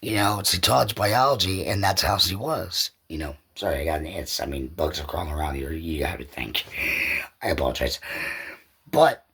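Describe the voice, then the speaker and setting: A man's voice: low-pitched, animated, moderate pace, fairly bright tone, slightly rough. A man hosting a podcast, talking in a casual, rambling solo commentary that loosely circles back over points. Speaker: podcast host.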